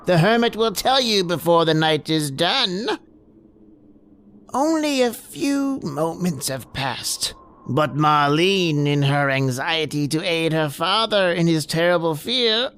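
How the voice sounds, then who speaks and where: A woman playing a sinister old hermit who narrates radio horror with teasing, drawn-out questions. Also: on the low side, sing-song, a bit bright, smooth, full character voice. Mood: ominous. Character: sinister old hermit storyteller, gloating over terror.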